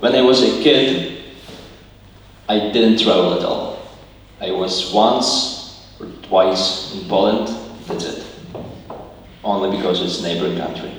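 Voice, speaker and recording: A young man from Ukraine gives a student presentation in English, earnest and plain as he works through his slides. His voice sits on the low side and he is picked up from a distance. The sound rings with long reverb from a large hall, with a faint hiss underneath. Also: a little slow, pauses often. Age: young adult. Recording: echoey hall, mic far off, faint noise.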